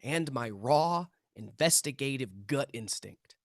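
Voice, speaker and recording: A man's voice: mid-range voice, expressive, slow pace, dry recording, clean studio sound. A man playing an intense, earnest young amateur paranormal investigator in a script read, self-important and conspiratorial. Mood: excited, intense. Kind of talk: table read of a TV pilot script.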